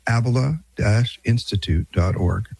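A man's voice: mid-range voice, measured pace, creaky. A man hosting a radio show, moving from the interview into a break and a friendly, conversational promo.

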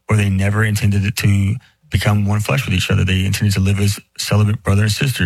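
A man's voice: on the low side, husky, dry recording, rough recording. A man who is a priest, explaining a Bible question in a casual, chatty way with many fillers. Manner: casual, filler-heavy, speeds up and slows down.

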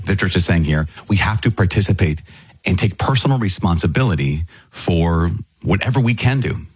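A man's voice: low-pitched, husky, no echo. A man, a priest hosting a podcast, teaching the catechism in an earnest conversational way and rephrasing himself as he goes.

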